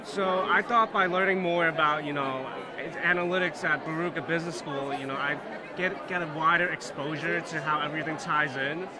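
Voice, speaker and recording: A man's voice: a little high, normal speed, very noisy. A young man in his twenties, a graduate student, answering interview questions earnestly with lots of "you know" fillers. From Hong Kong, raised in New York. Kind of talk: casual interview. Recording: loud crowd behind. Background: crowd chatter.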